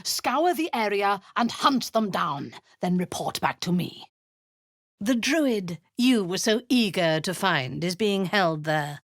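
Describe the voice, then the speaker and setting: A woman's voice: medium pitch, expressive, slow pace, no echo, clean studio sound. An actress voicing a cruel, zealous female warlord in a video game, giving orders in a cold, commanding tone with hissed half-whispers. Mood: menacing.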